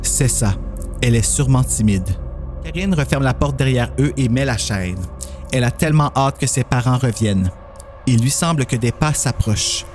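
A man's voice: mid-range voice, lively intonation, normal speed, darkish tone, faint music behind. A man with a Quebec French accent narrating a scripted horror story as host.

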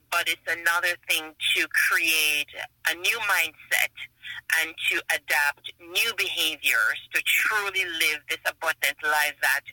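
A woman's voice: deep voice, pushed and bright, no room sound, rough recording. A woman with a Jamaican accent, a guest author in a podcast interview, speaking in an earnest, reflective way and often restarting her phrases.

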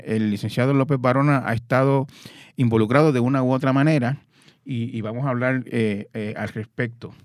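A man hosting an interview podcast, speaking in a measured way with hesitant fillers. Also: mid-range voice, no room sound, studio quality. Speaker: podcast host.

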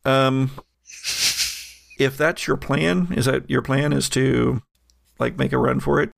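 A man narrating a tabletop game as game master, relaxed and chuckling, with many fillers. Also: mid-range voice, takes it slowly, gravelly, no echo.

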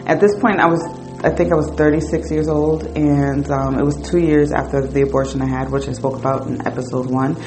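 A woman's voice: low voice, steady tone, a little fast, low-quality recording. Candid woman telling her story over music. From New Jersey, United States. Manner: candid, conversational, lots of fillers. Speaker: podcast host. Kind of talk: personal storytelling monologue. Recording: music playing behind the voice.

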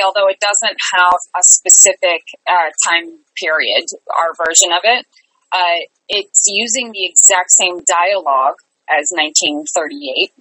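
A woman with a fairly low but bright, forward voice talks casually in an interview. She is chatty and upbeat, and the sound is close and dry. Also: no echo, low-quality recording. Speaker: theater company artistic director, guest.

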